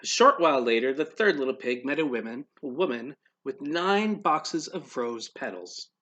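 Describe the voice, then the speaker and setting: A man reading a storybook aloud to children in an expressive, teacherly way, putting questions to his listeners. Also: mid-range voice, highs cut off. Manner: pauses to quiz young listeners.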